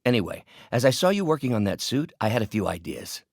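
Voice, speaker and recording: An older scientist mentor from a video game, proud and earnest with a bitter edge. His voice is low and swings expressively through each deliberate, articulate phrase. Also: big pitch swings, creaky, a little mellow, no echo, studio quality, heavily acted. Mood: earnest, reflective. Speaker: voice actor. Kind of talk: dramatic video game voice acting.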